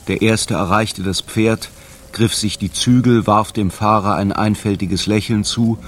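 A man reading scripted literary narration as a narrator in a radio drama. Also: on the low side.

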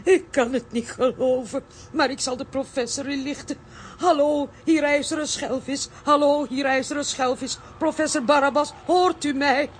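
A woman's voice: sits high, fairly even intonation, moderate pace, slight background noise, lightly acted. A woman playing a bossy, feisty adventurous aunt in a children's radio play, commanding and theatrical. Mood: dramatic, defiant.

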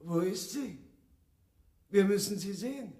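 An actor playing a formal, courteous arms buyer in a German radio drama, speaking in a measured, deliberate way. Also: male voice, high voice, animated, background noise. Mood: measured, businesslike. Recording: roomy, distant from the mic.

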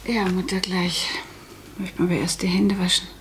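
An actress playing a dutiful, matter-of-fact widowed daughter in a German radio play, speaking in an earnest, measured way with a husky, creaky voice. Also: female voice, on the low side, level tone, close to an everyday voice. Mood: reassuring. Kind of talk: German radio play acting, letter monologue.

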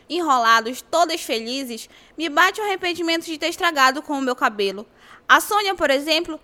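A woman playing an excitable young girl who longs to fit in, in an amateur radio drama. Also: high-pitched. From Brazil.

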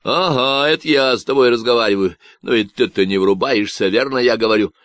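A man's voice: mid-range voice, a bit bright, no echo, close to an everyday voice. A man voicing an unhinged Texan man on a radio, who talks in a nasal drawl full of slang and mockery. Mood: mocking. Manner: slow, mocking slang talk.

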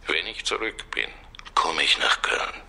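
An actor playing a crude, amorous Russian gangster murmuring intimately and bluntly on the phone, gravelly and breathy, with broken grammar. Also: male voice, very deep voice, expressive, measured pace, pushed and bright, whispered, full character voice. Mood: sultry, secretive.

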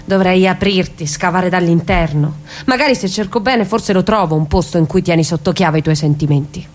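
A woman acting a low-voiced, wounded wife. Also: fairly quick, lightly acted. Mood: hurt, resolute. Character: wounded, resolute wife searching for her man's heart. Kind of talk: stage monologue.

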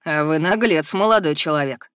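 A woman voicing an older male university rector who gives formal, commanding, measured addresses in a fantasy audiobook. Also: sits low, a bit bright, smooth, dry recording, studio quality. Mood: formal, proclaiming.

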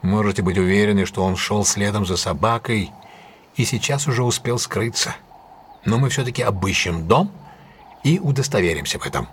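An actor playing a self-assured gentleman detective in an audio drama, decisive, courteous and authoritative. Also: on the low side, expressive, moderate pace, slight background noise, lightly acted. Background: music.

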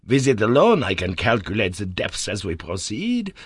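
A man voicing an excitable, eccentric elderly professor who exclaims with high glee in a storybook reading. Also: normal speed, breathy edge, no echo, studio quality, heavily acted. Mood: gleeful.

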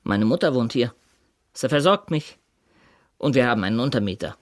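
A poor tailor in his late thirties acted by a man in a radio play, guarded and defensive. His German has a Yiddish colour and turned-around word order. The pitch moves widely and the sound is dry. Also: lively intonation, moderate pace, no room sound, lightly acted. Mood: reluctant. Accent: Yiddish-influenced German. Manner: terse, inverted word order. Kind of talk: German radio crime drama.

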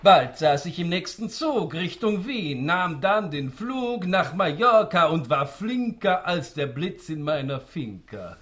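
Pompous old tycoon with swooping pitch. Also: male voice, big pitch swings, slow pace, hardly any pauses, fairly bright tone, full character voice. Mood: sly, gleeful. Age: older. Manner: theatrical, pompous, savouring each rhyme. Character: smug, spry old tycoon patriarch who faked death. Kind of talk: rhymed radio play monologue.

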